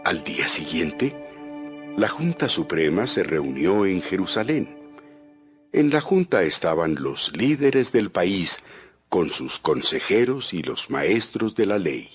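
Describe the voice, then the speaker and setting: A man narrating a dramatized Bible from a script in a solemn, deliberate storytelling manner. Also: low-pitched, very animated. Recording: music bed behind the voice.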